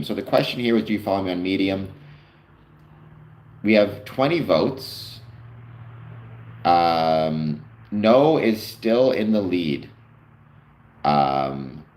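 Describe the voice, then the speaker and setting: A low-voiced man from Canada hosts a live online show and chats casually, answering comments as they come in. He rambles a little and fills his pauses with ums and uhs. The sound carries a noticeable room echo. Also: darkish tone. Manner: rambling, many fillers, answers chat comments. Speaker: livestream host. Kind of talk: casual livestream chat reading comments. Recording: echoey room.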